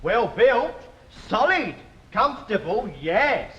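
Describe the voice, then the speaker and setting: An actor playing a fussy, fawning male royal tailor who gushes with flattery in a comedy sketch. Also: sits high, slow, full character voice. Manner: flattering, gushing and prissy. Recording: dull, roomy stage recording with audience.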